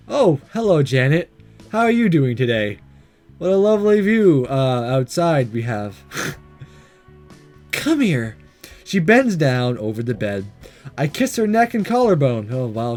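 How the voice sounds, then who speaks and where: Animated man narrating a game. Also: high-pitched. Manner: animated, laughing, jokey asides. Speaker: gaming video host. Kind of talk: let's play narration and commentary.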